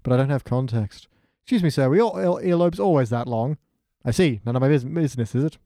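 A man's voice: mid-range voice, animated, fast, warm tone, no echo, studio quality. A man speaking as a podcast guest in casual review chat, with a wry way of acting out quoted bits.

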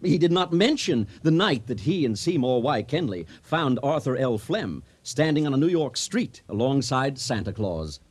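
Husky guy doing grand mock-serious comic radio narrator, really animated delivery. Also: mid-range voice, normal speed, hardly any pauses. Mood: mock-solemn, wry. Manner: mock-solemn, grandly emphatic. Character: exuberant comic storyteller addressing a wedding crowd.

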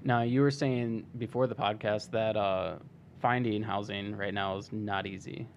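Gravelly, hoarse male podcast host. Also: on the low side, steady tone, measured pace, highs cut off, a little hiss or hum. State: losing his voice, hoarse. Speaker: podcast host interviewing a guest. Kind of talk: casual conversational interview.

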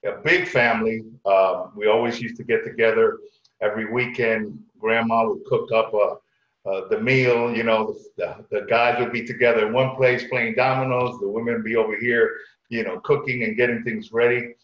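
A middle-aged man from Connecticut telling personal stories casually as a podcast guest, haltingly, often repeating words. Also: on the low side, steady tone. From Connecticut, United States. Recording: echoey room, dull lo-fi sound.

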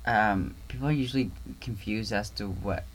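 A man's voice: lowish voice, normal speed. A man in casual conversation, easygoing, often agreeing and laughing easily. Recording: noisy room ambience.

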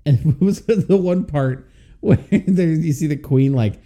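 Podcast co-host, male, very dark mellow tone, chatty recapper who acts out quotes. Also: fairly high pitch, slow. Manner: chatty, quotes scenes in playful voices. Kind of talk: casual movie recap chat.

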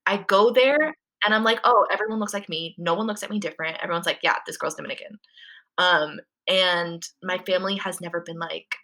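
A woman's voice: lowish voice, sharp tone. A young woman talking as a podcast guest in a casual interview, chatty and full of "like" and "um" fillers.

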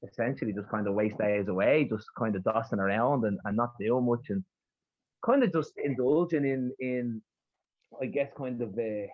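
A young man with a rough north Dublin accent, a podcast guest chatting casually and rambling with plenty of fillers and restarts. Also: medium pitch, moderate pace. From Dublin, Ireland. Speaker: podcast guest, spoken word poet. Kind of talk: casual interview chat. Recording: husky voice over a tinny remote line.

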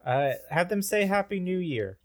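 A man voicing a goofy, excitable alien father of many children in a high, strained put-on voice. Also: normal speed, lightly acted. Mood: excited. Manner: over-the-top, excitable.

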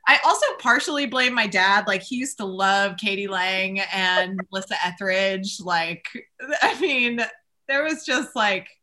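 A woman co-hosting a casual podcast, chatting in a quick, easygoing way. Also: mid-range voice, pushed and bright. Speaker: podcast co-host. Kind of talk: casual chat.

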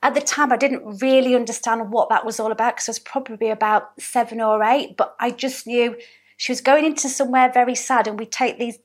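A woman from South Yorkshire talks with a clear Yorkshire accent, her voice sitting a little high for a woman. She tells stories in an easy, chatty way, leaning on the odd "um" as she goes. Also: fairly even intonation, fairly quick. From South Yorkshire, England. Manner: chatty, warm storytelling with frequent ums. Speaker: guest, business owner. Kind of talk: relaxed interview conversation.

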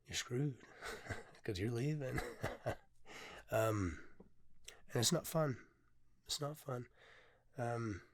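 A hoarse man hosts a relaxed solo podcast, sounding tired and speaking in a loose, candid way. He pauses often on filler sounds and swears without a second thought. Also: mid-range voice. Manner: candid, swears freely, frequent ums. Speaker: podcast host and poet. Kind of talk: casual solo podcast talk.

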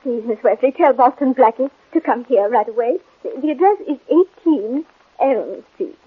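A woman's voice: high-pitched, expressive, normal speed, husky. An actress playing a frightened, secretive woman pleading for help in a 1940s radio drama, hesitant and urgent. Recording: muffled, scratchy 1940s radio recording.

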